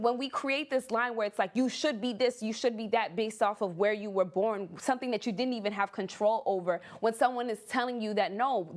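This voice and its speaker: An American woman on a discussion panel, speaking earnestly and emphatically, repeating words as she builds her point. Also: mid-range voice. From United States. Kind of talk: panel debate.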